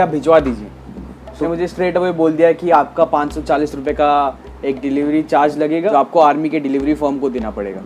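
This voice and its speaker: A male vlogger delivers scripted narration in an even, steady tone. He drops English words and phrases into his sentences, and music plays clearly underneath his voice. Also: fairly high pitch.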